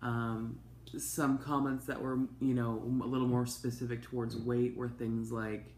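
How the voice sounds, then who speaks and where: Hoarse, gravelly-voiced woman. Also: rumbling low, little pitch movement, unhurried, a little mellow. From Canada. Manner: chatty, open, with frequent ums and asides. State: hoarse from a cold. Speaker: vlogger. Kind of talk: casual personal update to camera. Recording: echoey room with faint noise.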